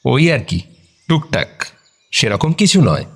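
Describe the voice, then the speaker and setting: A man's voice: medium pitch, big pitch swings, takes it slowly, vocal fry, breathy edge, full character voice. A man playing a polite, modest photographer under questioning in a radio detective drama, slightly hesitant. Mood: modest, friendly.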